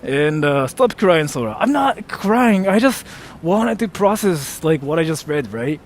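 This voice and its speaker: A man's voice: a little high, very animated, measured pace, slight background noise. A young American man on a casual live stream, joking about himself and thanking viewers for donations. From United States. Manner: joking, self-mocking, reads chat aloud.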